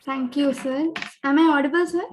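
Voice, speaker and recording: A young woman welcomes everyone to an online meditation program in a high voice. She speaks earnestly and politely, with frequent fillers and restarts. The sound comes over a video call. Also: fairly even intonation, moderate pace, darkish tone, slight background noise. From Pollachi, Coimbatore district, India. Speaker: program host.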